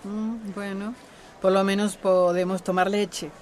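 An actress playing a wry, plain-spoken village neighbor in a radio drama, with an expressive, teasing manner. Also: female voice, mid-range voice, measured pace, a little hiss or hum, lightly acted. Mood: grumbling concern.

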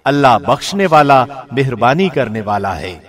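A man reading a scripture translation from a script in a formal, solemn, measured manner. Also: medium pitch, sing-song, a bit bright. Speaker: translation reader, radio host.

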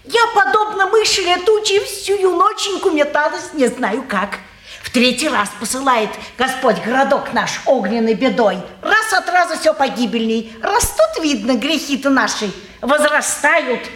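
An actress playing a brisk, folksy, sly townswoman of about forty-five, speaking in sing-song patter with pious sayings in an old stage manner. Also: sits high, animated, fairly bright tone. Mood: folksy, lamenting. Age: middle-aged. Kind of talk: mid-century Russian stage acting. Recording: roomy 1950s theatre radio recording.

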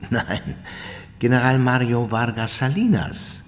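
An actor playing an evasive old Nazi war criminal in custody, answering curtly in a radio play. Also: male voice, medium pitch, slow, long reverb tail, faint music behind, lightly acted. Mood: guarded, evasive. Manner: curt, evasive denials.

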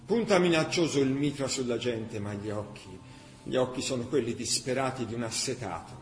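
An actor playing a solemn chronicler of a besieged city in a scripted radio drama. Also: male voice, medium pitch, a little fast, soft background music, close to an everyday voice. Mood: tense. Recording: echoey room, clear background noise.

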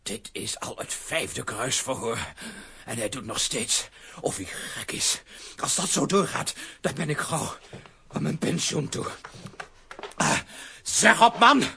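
An actor playing an exasperated, hot-tempered older police commissioner in a children's audio drama, blustering with drawn-out outbursts. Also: male voice, mid-range voice, lively intonation, vocal fry, bright, forceful tone, husky, heavily acted. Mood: weary, exasperated.

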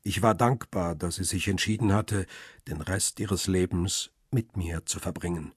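An actor playing a middle-aged photographer and devoted husband, narrating a radio crime drama in a measured, reflective, literary way. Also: male voice, low-pitched, fairly even intonation, slightly rough, no echo, clean studio sound, heavily acted. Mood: reflective, tender.

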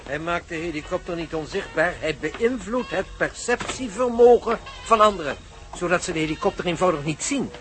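Pedantic, higher-voiced professor. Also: male voice, animated, fairly bright tone, soft background music. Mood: explaining. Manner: measured, schoolmasterly. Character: sober, pedantic male professor, protective and reproving. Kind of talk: Dutch radio play acting.

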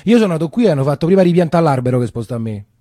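An actor playing a wry, grumbling working-class coffee shopkeeper in a theatrical monologue, speaking colloquially with a Roman accent. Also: male voice, on the high side, big pitch swings, racing, warm tone, dry recording, clean studio sound. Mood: wry, fond. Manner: colloquial, muttering to himself, exclaiming.

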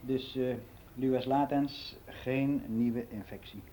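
A man's voice: medium pitch, steady tone, takes it slowly, warm tone, a little hiss or hum, lightly acted. An actor playing a world-weary middle-aged man who rambles in a frank, confiding way in a radio play monologue. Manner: rambling, confiding, matter-of-fact.

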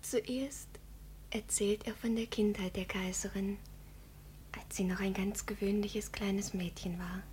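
An actress playing an earnest, loyal village child in a German radio play, speaking in a hushed, reverent, dreamy way. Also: female voice, mid-range voice, steady tone, measured pace, a little hiss or hum, lightly acted.

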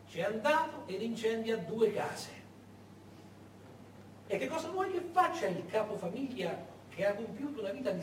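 A male stage actor tells a story as a dramatic monologue, posing rhetorical questions with emphatic repetition. His delivery swings widely in pitch. It echoes from some distance, with faint noise. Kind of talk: theatrical narrative monologue.

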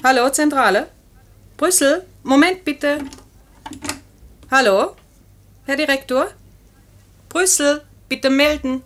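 An actress playing a brisk, efficient and pert telephone operator in 1950s German radio drama style. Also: female voice, sits high, a bit bright, slight background noise. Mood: businesslike. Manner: clipped, businesslike on calls, pert in asides.

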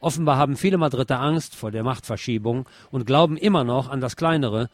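A man's voice: mid-range voice, fairly quick, no room sound. A man hosting a program, reading press articles aloud and adding brief comments of his own.

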